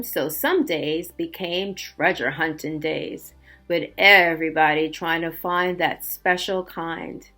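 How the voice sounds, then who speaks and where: Slow-paced woman teacher reading to young children. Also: lowish voice. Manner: expressive, simple explanatory asides with check-in questions. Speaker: elementary school teacher. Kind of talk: read-aloud storytelling and word lesson for young children.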